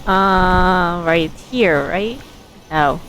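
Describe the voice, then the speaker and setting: A woman game streamer giving casual live commentary, thinking aloud in a halting way. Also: on the low side, fairly bright tone, low-quality recording.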